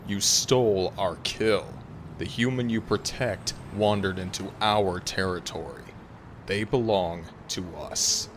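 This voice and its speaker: A man's voice: sits low, slow, noisy background. A man playing a proud, coldly arrogant male alpha werewolf in an audio drama, with a formal, haughty, threatening manner. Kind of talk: dramatic audio roleplay acting.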